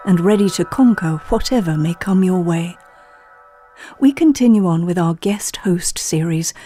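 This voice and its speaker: An actress playing an earnest, unflappably polite wellness podcast host, introducing a meditation in a measured, gentle, deadpan way for an audio play. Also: female voice, on the low side, dry recording, studio quality.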